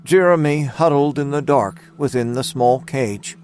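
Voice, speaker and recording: A man narrating scripted dramatic fiction in a measured storytelling manner. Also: medium pitch, a little mellow, clean tone.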